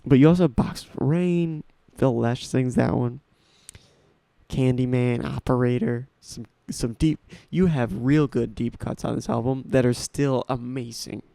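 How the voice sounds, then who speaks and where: Young male podcast host, nineteen, gravelly and mellow, rambling and eager, dry close mic. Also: mid-range voice, measured pace, no room sound. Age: young adult. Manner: rambling, enthusiastic, restarts often. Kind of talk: casual solo talk about music.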